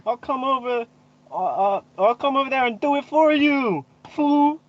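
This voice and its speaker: A man's voice: extremely high pitch, moderate pace, no room sound, dull audio, pitched above the performer's own voice. A man voicing a hot-headed male gang boss, a scrunched paper ball, hurling threats and insults at a table read. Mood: furious.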